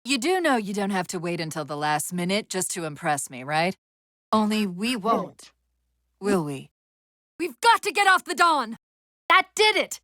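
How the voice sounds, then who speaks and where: A woman voicing a video game's female AI companion, an urgent, wry military guide with crisp, technical, commanding delivery. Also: mid-range voice, sing-song, normal speed, a bit bright, no echo, studio quality. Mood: dry, wry. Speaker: video game voice actor. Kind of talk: video game dialogue, tactical callouts.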